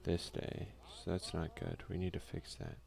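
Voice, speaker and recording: A man softly giving casual ASMR let's play commentary, muttering offhand asides and reading game text aloud. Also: low voice, expressive, normal speed, creaky. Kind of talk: ASMR gaming commentary, soft-spoken and casual.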